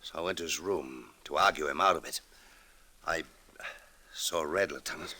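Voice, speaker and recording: A man's voice: a bass voice, normal speed, pushed and bright, exaggerated voice. An actor playing a hot-tempered, despairing ex-convict father in old radio melodrama style. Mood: defeated, confessing.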